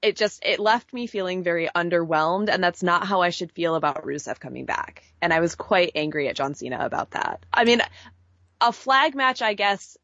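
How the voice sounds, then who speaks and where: A woman's voice: on the low side, expressive, moderate pace, a bit bright, no room sound, dull audio. A woman co-hosting a casual review show, giving candid, informal opinions with wry asides. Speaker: podcast co-host reviewing wrestling. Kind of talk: casual chat, opinionated commentary.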